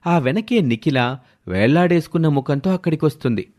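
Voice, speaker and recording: A man's voice: fairly high pitch, lively intonation, fairly quick, a little mellow. A man narrating a scripted moral story as the storyteller.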